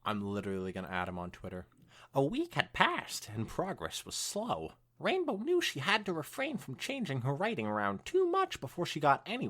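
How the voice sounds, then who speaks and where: Very animated podcast story reader. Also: medium pitch. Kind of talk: animated fan fiction narration with casual asides.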